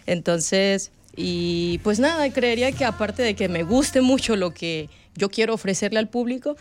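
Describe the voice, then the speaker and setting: A woman's voice: mid-range voice, animated, moderate pace. A woman from El Salvador with a Salvadoran accent, chatting casually and with a giggly manner as a guest singer in an interview. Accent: Salvadoran Spanish.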